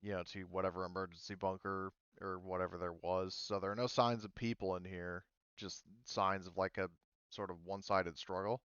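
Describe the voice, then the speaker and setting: Male game master, low and smooth, informal halting explanations, dry and slightly dull recording. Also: flat delivery, fairly bright tone, no echo. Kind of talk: running a tabletop roleplaying game.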